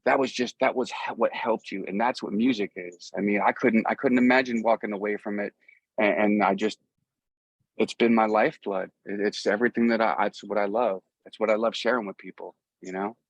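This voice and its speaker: A middle-aged American man being interviewed as a musician, talking casually and earnestly in halting, rambling sentences with repeated phrases. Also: lowish voice, steady tone, dry recording. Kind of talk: casual, rambling conversation about a music career.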